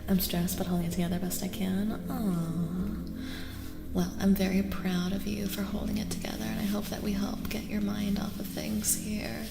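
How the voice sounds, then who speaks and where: A woman host chatting in a soft-spoken ASMR livestream, gentle and soothing, repeating phrases softly and often dropping to a breathy whisper. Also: medium pitch, little pitch movement, moderate pace. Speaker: streamer and tarot reader host. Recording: roomy echo, long reverb, music. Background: clear background music.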